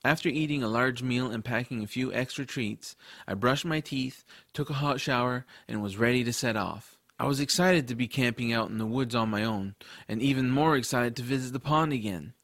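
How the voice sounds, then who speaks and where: A male narrator tells a fantasy adventure in the first person, as its hero. His voice has a medium pitch and is recorded close and dry. The delivery is scripted storytelling. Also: moderate pace, a bit bright, dry recording.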